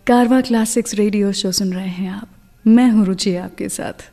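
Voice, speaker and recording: A woman's voice: medium pitch, fairly even intonation, normal speed, big-room sound, over music. A woman hosting a radio show, telling stories in a chatty narration that slips English words in.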